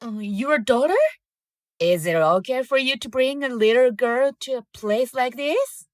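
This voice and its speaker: A woman's voice: fairly high pitch, unhurried, no echo, clean studio sound, close to an everyday voice. A woman playing an eager, polite and inquisitive young woman in an audio drama, with music behind her. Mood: curious, hesitant. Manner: bright-eyed, inquisitive, helpful.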